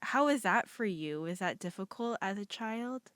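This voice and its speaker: A woman's voice: medium pitch, expressive, moderate pace, no room sound. Encouraging woman podcast host, dry sound. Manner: warm, encouraging interjections. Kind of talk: casual interview.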